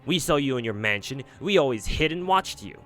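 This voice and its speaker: A man's voice: mid-range voice, expressive, brisk, fairly bright tone, soft background music, close to an everyday voice. A man voicing a mob of gleeful, childlike, bloodthirsty fairies, in a giddy, sing-song way.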